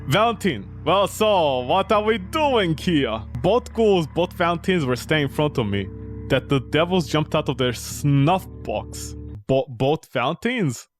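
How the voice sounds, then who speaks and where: Wildly animated game streamer, exasperated and theatrical. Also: mid-range voice, unhurried. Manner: exasperated, incredulous, theatrical reactions. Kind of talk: gaming commentary, reading visual novel text aloud and reacting. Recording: background music under the voice. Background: music.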